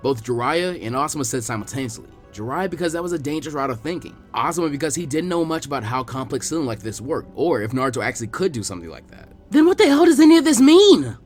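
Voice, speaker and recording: A man reading fan fiction aloud in an expressive audiobook style. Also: medium pitch, fast talker, few pauses, soft background music.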